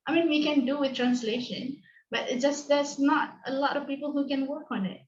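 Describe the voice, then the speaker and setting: A woman's voice: high-pitched, fairly quick, reverberant, lo-fi sound, slight background noise. A woman from Malaysia hosting an online panel discussion, reflective and hesitant, with many fillers. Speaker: host. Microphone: video call.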